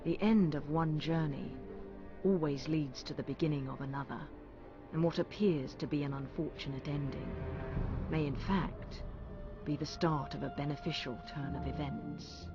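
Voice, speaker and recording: A man acting as a solemn fantasy storyteller with a lightly raised pitch. He reads in measured, storybook fashion for a game. Music plays clearly behind his slightly dull recording. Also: steady tone, natural voice. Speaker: narrator. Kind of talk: scripted storybook narration.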